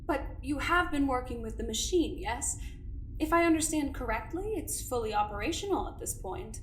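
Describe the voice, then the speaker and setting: A woman plays a calculating female doctor in an audio drama, her voice pitched a little high and her tone measured and clinical. A soft menace runs under her cheerful calm. The room has a noticeable echo. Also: a little hiss or hum. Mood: probing. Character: cold scheming female doctor, coolly optimistic. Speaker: doctor running an investigation.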